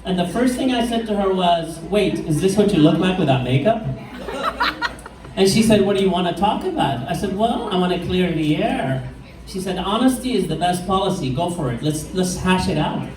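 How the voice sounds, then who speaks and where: A middle-aged man with an Iraqi accent works a pub stage, slowing down into deadpan punchlines. His voice sits high for a man. It comes through an echoey room, picked up from some distance. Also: crowd noise. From Iraq. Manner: deadpan one-liners, slow punchline delivery. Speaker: stand-up comedian. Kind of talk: stand-up comedy set. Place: pub stage with live crowd. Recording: echoey room, mic heard from far off.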